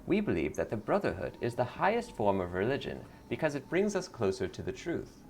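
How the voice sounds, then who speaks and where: A man's voice: medium pitch, big pitch swings, fairly quick, vocal fry, a little mellow, slight background noise. A man voicing a blissful, eager cult greeter in a video game, sweetly pious and salesy. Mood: welcoming, zealous.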